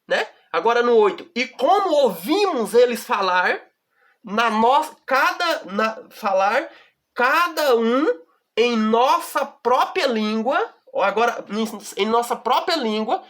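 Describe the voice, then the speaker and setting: A Brazilian woman leads a Bible study in a slightly raised voice, taking her time. She reads each verse slowly and with care. She keeps turning to the listener to make sure they follow. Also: a little high, big pitch swings. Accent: Brazilian Portuguese. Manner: reads scripture slowly and deliberately, often checks in with the listener. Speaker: Bible teacher.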